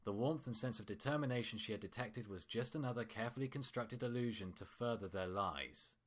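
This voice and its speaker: Male story narrator, fairly low register, reading scripted fiction. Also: a little fast.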